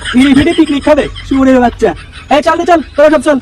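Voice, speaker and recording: A bossy cartoon gang boss kid, very high-pitched and extremely quick, scolding and swearing. He sounds a little distant over faint noise. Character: bossy foul-mouthed young gang leader organising friends. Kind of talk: comic cartoon dubbing.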